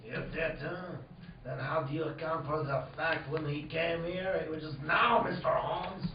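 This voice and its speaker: A man's voice: fairly high pitch, steady tone, highs cut off. A man playing a smug, accusing French chief of police in a comic radio play, with a sneering manner. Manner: sneering, drawn-out, ending on "huh". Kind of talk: comic old-time radio drama acting. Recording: noisy, echoey, distant room recording.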